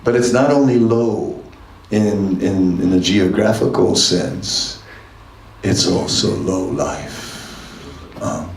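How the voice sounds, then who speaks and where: An older man gives a relaxed temple class, unhurried and low in pitch, chuckling as he tells his stories. His voice comes from some distance away in an echoing hall. A faint hum of the room sits behind him. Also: creaky. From Netherlands. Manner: chuckling, conversational storyteller. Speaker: monk giving a scripture class. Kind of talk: temple lecture with anecdotes.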